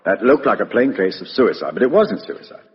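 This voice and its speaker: An actor playing a brisk, blunt British police inspector in a 1950s radio drama. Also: male voice, fairly low pitch, very animated. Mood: brisk, probing. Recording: muffled vintage radio broadcast, reverberant studio.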